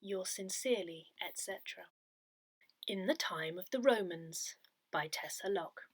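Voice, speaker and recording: A woman reading newsletter articles aloud in a measured, expressive way, with clear phrasing. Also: mid-range voice, no room sound, rough recording. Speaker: reader of an audio parish newsletter. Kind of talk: scripted narration, read-aloud articles.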